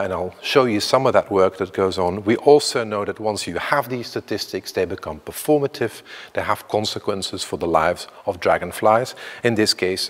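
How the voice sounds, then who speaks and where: Belgian man in his fifties, low voice, academic talk in a reverberant lecture hall. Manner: tentative, self-deprecating, many fillers and restarts. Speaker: academic speaker. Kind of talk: conference talk.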